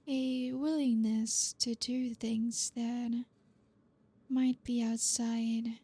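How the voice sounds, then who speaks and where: A woman's voice: on the high side, a little mellow, slightly rough, full character voice. A woman playing a flirty, teasing, dominant boss in an ASMR roleplay, speaking breathily and often slipping into a whisper. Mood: composed, suggestive.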